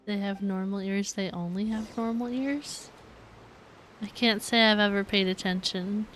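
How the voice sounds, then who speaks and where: A woman's voice: mid-range voice, steady tone, measured pace, a bit bright, a little hiss or hum. A woman giving casual live commentary as she plays a game, musing aloud in a giggly way. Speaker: game streamer.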